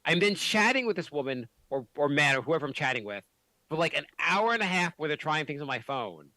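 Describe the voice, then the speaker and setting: Animated, bright-voiced man. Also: on the high side, a little fast, dry recording. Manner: animated, swears a lot, many restarts. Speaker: podcast host. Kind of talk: casual chat.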